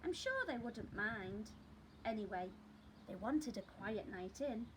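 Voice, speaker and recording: A woman's voice: medium pitch, animated, slow pace, a little hiss or hum. A woman voicing an earnest, coaxing young girl from a children's picture book.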